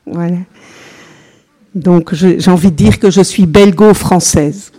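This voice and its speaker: Older Belgian nun teaching a group, slow and unhurried, chatty asides. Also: female voice, on the low side, mellow. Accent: Belgian French. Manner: chatty, unhurried, peppered with "voilà", engages listeners. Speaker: consecrated religious sister giving a teaching. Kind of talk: informal religious teaching to a group.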